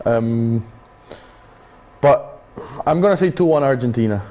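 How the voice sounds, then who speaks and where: A man on a football panel, explaining his views in a measured, hedging way. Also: medium pitch, lively intonation, warm tone. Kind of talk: casual football chat.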